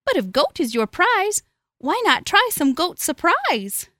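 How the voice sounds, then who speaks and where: A woman's voice: very high-pitched, sing-song, a little slow, sharp tone, no echo, clean studio sound, voice pushed up, exaggerated voice. A woman voicing a small young male storybook goat, sweet, chirpy and crafty. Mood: sweetly coaxing.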